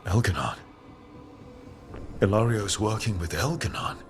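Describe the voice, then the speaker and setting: A steady hero in a fantasy game. His low voice is direct and sympathetic, plainly spoken with faint music underneath. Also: unhurried. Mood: earnest. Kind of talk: video game voice acting.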